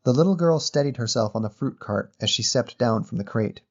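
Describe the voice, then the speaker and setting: Measured male story narrator, slightly muffled. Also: mid-range voice, lively intonation. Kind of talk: scripted literary short story narration.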